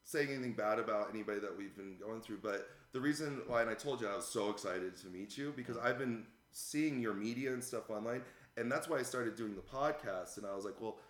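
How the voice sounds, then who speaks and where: A man hosting a podcast in a casual, laid-back and agreeable way, quick to laugh along. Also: lowish voice, echoey room. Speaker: podcast host.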